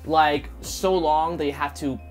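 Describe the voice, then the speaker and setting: A man's voice: on the high side, fairly even intonation, measured pace, faint music behind. A young man chatting casually with his wife in a couple's question-and-answer video, easygoing and rambling with lots of filler words. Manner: easygoing, chatty, full of "like" and "you know". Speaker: co-host of a couple's channel. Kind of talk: casual Q&A chat.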